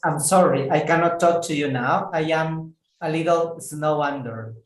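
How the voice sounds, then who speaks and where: A man teaching an English lesson to a class, speaking slowly and carefully and repeating words so students can follow. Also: fairly high pitch. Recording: echoey room.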